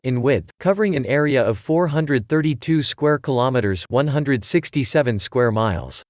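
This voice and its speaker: A man narrating an educational video, reading out facts and figures in an even manner. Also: mid-range voice, no echo, studio quality.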